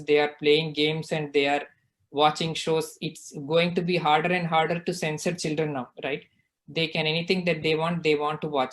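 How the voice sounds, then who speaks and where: A man's voice: a little high, fairly bright tone. A man, earnestly explaining his views on parenting in a casual online discussion and often checking in with "right?". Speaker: guest speaker. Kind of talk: casual discussion on a video call.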